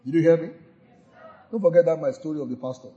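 Husky-voiced pastor exhorting. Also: male voice, a little high, fast, warm tone, muffled recording. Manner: exhorting, repetitive chanting of declarations, frequent hallelujahs. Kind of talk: sermon with call-and-response declarations.

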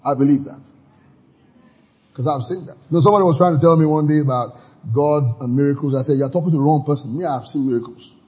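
Very husky, exuberant male preacher. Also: fairly high pitch, fast, muffled recording. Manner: exuberant preaching with sudden shouts and laughs. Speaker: pastor. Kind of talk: sermon.

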